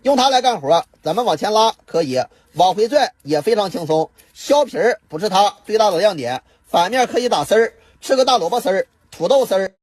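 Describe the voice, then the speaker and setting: A man pitching a kitchen peeler in a punchy, boastful sales patter. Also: lively intonation, brisk, hardly any pauses, a little mellow. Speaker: product demonstrator.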